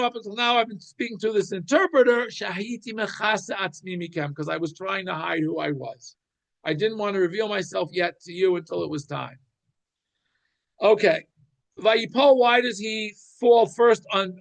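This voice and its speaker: A man with a notably high-pitched voice teaches an informal Torah class. He explains the text like an animated storyteller, thinking aloud and circling back over phrases as he goes. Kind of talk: informal religious shiur, explaining scripture.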